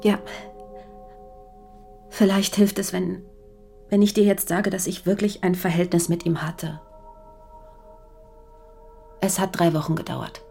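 Weary defiant wife, lowish voice, frank, reproachful, radio crime drama. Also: female voice, little pitch movement, a little slow, creaky, pauses often, mellow, soft background music. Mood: confessing, subdued.

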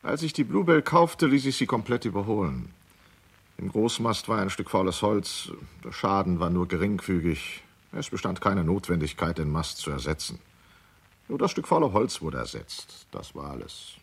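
An actor playing a defensive yacht owner testifying in a radio play, emphatic and matter-of-fact. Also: male voice, low voice, animated, moderate pace, creaky, husky, exaggerated voice. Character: defensive yacht owner giving testimony about his ship.

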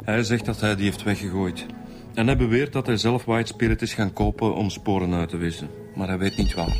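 An actor playing a dry, sceptical police inspector in a radio drama, pointed in manner, with a Flemish accent. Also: male voice, fairly low pitch, fairly even intonation, fairly quick, a little mellow, soft background music, lightly acted. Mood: matter-of-fact. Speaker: homicide detective. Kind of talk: naturalistic radio drama acting.

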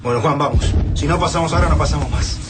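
A man's voice: fairly high pitch, quick pace, music in the background, natural voice. A man playing a blunt, impatient resistance fighter with an Argentine accent in a radio drama. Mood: irritated, urgent.

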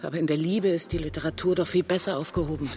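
A woman's voice: low-pitched, fairly even intonation, quick pace, mellow, soft background music, close to an everyday voice. A woman playing an earnest, insistent literary interviewer in a radio drama, formal and somewhat pompous in manner. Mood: offended, insistent. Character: earnest, probing literary interviewer, female, high-flown questions.

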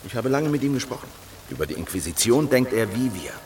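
An actor playing a calm, resolute leader of a secret heretical circle in a German radio drama, speaking with assured persuasion. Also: male voice, mid-range voice, fast talker, slight background noise, close to an everyday voice.

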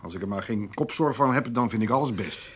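An actor in a radio play playing a gruff but caring farmer son, colloquial and hesitant, often repeating his words. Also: fairly low pitch, animated, fairly quick, a bit bright. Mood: grumbling, easygoing. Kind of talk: naturalistic radio play acting.

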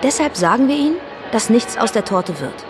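A woman's voice: a little high, expressive, fairly quick, noisy background, lightly acted. A woman playing a weary, unyielding mother in a stylised radio drama. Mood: stern, weary. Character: weary, unyielding mother scolding her children.

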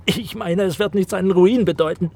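High-voiced, obsequious traveller in a radio play. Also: male voice, a little fast, creaky, warm tone. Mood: wry, measured. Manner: obsequious, meticulous, wordy. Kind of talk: German radio play acting.

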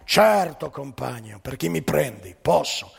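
A man putting on a hulking Soviet factory worker who boasts about his drinking, with a gravelly voice. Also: medium pitch, sing-song, unhurried, long reverb tail, heavily acted. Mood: cocky. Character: burly Soviet factory worker, male, blunt and cocky.

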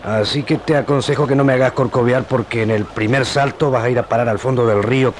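An actor playing a cocky, cruel gaucho villain with a rural Argentine accent in a radio drama. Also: male voice, medium pitch, fairly even intonation, fast talker, slight background noise, natural voice. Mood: menacing.

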